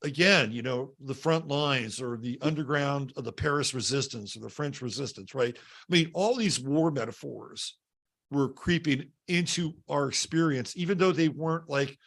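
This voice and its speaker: A man's voice: medium pitch, moderate pace, gravelly, a bit bright. A man hosting a podcast, telling personal stories conversationally and checking in with short tag questions. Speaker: podcast host.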